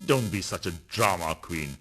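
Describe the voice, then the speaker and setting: A man's voice: sits low, lively intonation, moderate pace, bright, forceful tone. A man acting a pompous, scheming adulterous politician husband in a farcical radio play, with a sarcastic, theatrical delivery. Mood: melodramatic.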